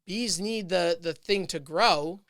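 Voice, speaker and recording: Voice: medium pitch, takes it slowly, a bit bright, dry recording, studio quality. A podcast host thinking aloud in casual chat, rambling with many fillers and restarts.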